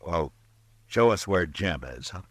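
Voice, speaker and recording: An actor playing a calm, laconic frontier marshal who also narrates, in an old radio drama. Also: male voice, deep voice, measured pace, no room sound. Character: steady male frontier marshal, calm and firm. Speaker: narrator and lead. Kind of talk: 1950s radio drama acting. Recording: old radio broadcast with music bed.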